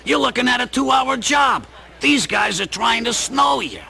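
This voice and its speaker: Guy playing a bossy skeptical plumber in a radio drama, high raspy creaky voice, really animated. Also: normal speed, full character voice. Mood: loud, exasperated. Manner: brusque, bossy, sarcastic. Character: gruff, skeptical working-class older brother, a plumber. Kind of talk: radio play acting.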